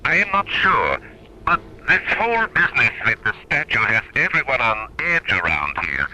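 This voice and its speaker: An actor playing an earnest, uneasy scientist on a moon base in a radio drama, speaking crisply and seriously. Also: male voice, mid-range voice, big pitch swings, moderate pace, bright, forceful tone, slight background noise, low-quality recording. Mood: uneasy, foreboding.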